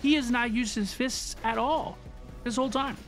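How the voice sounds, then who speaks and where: A woman giving casual, excited reaction commentary as a video host, exclamatory and slangy, often repeating herself. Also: fairly high pitch, moderate pace, soft background music.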